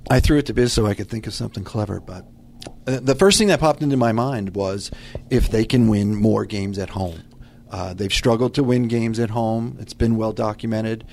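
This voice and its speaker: A man's voice: lowish voice, normal speed, gravelly, a little mellow, slight background noise. A man chatting casually as a podcast co-host, with a wry, teasing way of talking. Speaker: podcast co-host, team news director. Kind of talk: casual chat.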